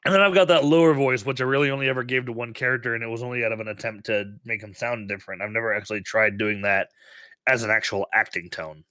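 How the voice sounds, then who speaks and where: Podcast co-host, male, under the weather, bright edge, giggly, trips over restarts, dry close mic. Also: mid-range voice, steady tone, quick pace, dry recording. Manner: laughs often, restarts sentences. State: sick. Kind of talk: casual podcast chat.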